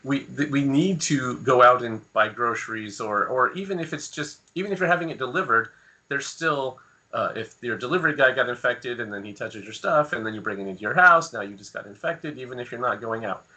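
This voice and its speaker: A man's voice: medium pitch, a little fast, sharp tone. A man co-hosting a podcast, talking through his views in casual discussion. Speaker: podcast co-host.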